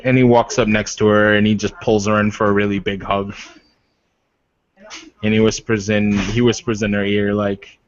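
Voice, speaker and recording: A man's voice: fairly low pitch, little pitch movement, normal speed. A man casually narrating his character's actions in a tabletop roleplaying game. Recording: roomy, dull lo-fi microphone.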